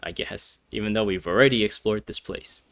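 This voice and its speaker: A man's voice: lowish voice, brisk, vocal fry, fairly bright tone. A man giving casual, rambling and joking commentary as he plays through a video game. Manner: rambling, joking, teasing the story. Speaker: gaming video host.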